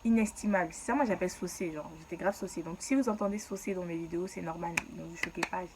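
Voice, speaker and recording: A woman's voice: fairly low pitch, fast, darkish tone, slight background noise. A young woman from Ivory Coast telling her own story in a casual, slangy way and laughing at herself.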